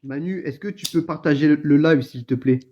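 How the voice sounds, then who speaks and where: A man with a fairly high voice chats casually as host of a livestream. The sound is dull and lo-fi. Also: a little fast, a little hiss or hum. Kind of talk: casual chat.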